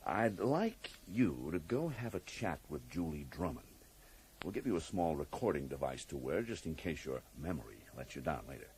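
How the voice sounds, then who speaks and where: An actor playing a cagey, drily amused federal agent in a radio drama, speaking with polite, evasive hesitations. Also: male voice, very deep voice, very animated, normal speed, darkish tone, slight background noise, heavily acted. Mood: cagey, businesslike. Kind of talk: 1980s radio drama acting.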